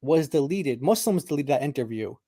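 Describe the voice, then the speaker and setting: A man presenting in a casual religious debate chat, relaxed and often laughing between points. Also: on the high side, a little fast, no room sound, studio quality. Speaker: guest presenter.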